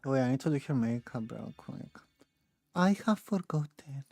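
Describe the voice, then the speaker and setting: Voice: medium pitch, animated, normal speed, gravelly, a little mellow, dry recording. A game streamer muttering casually and indecisively to themselves while playing. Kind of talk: casual gameplay commentary.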